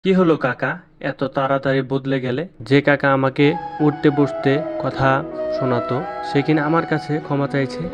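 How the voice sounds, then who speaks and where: A man's voice: medium pitch, fairly even intonation, normal speed, muffled recording, music in the background, lightly acted. A man playing a wronged, proud and brooding young orphaned nephew in a cartoon story. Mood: hurt, defiant. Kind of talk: cartoon story dialogue and first-person narration.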